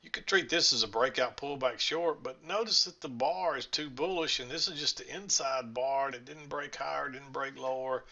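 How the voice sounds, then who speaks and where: Gravelly man reviewing charts. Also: medium pitch, normal speed, hardly any pauses, bright, forceful tone, dull audio. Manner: folksy, rambling, thinking aloud. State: slightly scratchy voice. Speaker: trading instructor. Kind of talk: screen-share chart walkthrough.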